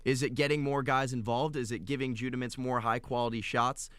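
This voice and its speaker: A man's voice: medium pitch, fairly even intonation, fairly quick, fairly bright tone, smooth, no echo. A man co-hosting sports talk radio in a conversational style, putting questions and prompts to his partner. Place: radio studio.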